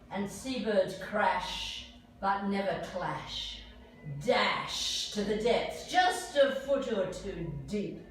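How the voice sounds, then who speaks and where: A woman's voice: medium pitch, darkish tone, slight background noise. An older woman giving a live spoken-word performance, speaking her own material with casual asides. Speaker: singer-poet performing own work. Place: live performance venue, indoors. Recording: echoey room from far off, guitar music.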